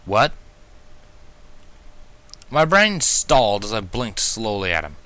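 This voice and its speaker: Male narrator, first-person fan fiction, expressive, bright tone, very varied pitch. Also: normal speed, no room sound.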